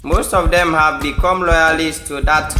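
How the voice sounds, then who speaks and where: A man's voice: on the high side, fairly even intonation, a little slow, over music, lightly acted. A man with a Sierra Leonean accent playing a worried noble, formal and earnest, in an audio drama. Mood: worried, disapproving. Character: worried male noble plotting against a female rival. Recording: echoey room.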